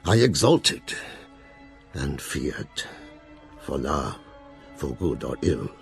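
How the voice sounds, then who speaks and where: An actor playing a grave, fearful old professor who hunts vampires, narrating his journal solemnly in an audio drama, with a gravelly, husky voice. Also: very deep voice, big pitch swings, very slow, soft background music, full character voice. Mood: grave dread.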